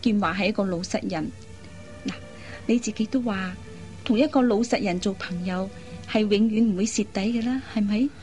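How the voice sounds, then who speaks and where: A woman playing a kind, persuasive young elder sister in a Cantonese radio drama, coaxing and teasing in drawn-out phrases. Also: mid-range voice, warm tone, faint music behind, close to an everyday voice. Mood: gentle, persuasive.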